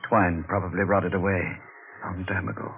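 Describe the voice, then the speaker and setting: A man's voice: deep voice, flat delivery, a little fast, narrow, tinny audio. An actor playing an older man, weary, grave and resigned, in 1940s radio horror. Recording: thin old radio recording, music behind.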